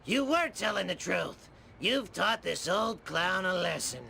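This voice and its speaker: A man voicing a cynical old showbiz clown with gravelly, world-weary patter for a cartoon game. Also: sits high, expressive, measured pace, pushed and bright, heavily acted. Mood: jaded. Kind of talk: animated comedy game acting.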